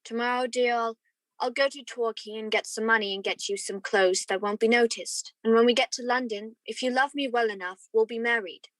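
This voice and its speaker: Radio drama role for a woman: romantic young gentleman, tender and earnest, slightly high, dry close sound. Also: fairly even intonation, fairly quick, dry recording, close to an everyday voice, rough recording. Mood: tender, ardent. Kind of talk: radio play acting and narration.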